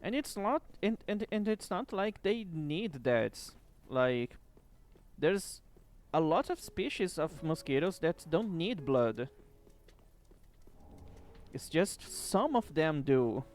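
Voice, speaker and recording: A man's voice: a little high, lively intonation, slow, darkish tone. A man streaming a game late at night, chatting casually with viewers, with distinctive rolled R's and casual swearing. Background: faint background music.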